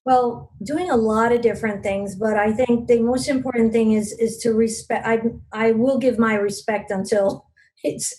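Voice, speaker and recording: A woman's voice: on the high side, steady tone, moderate pace, darkish tone, rough recording. A woman from Long Island, a guest in a podcast interview, telling stories earnestly with many fillers and restarts. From Long Island, New York. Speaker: guest, regional business network leader.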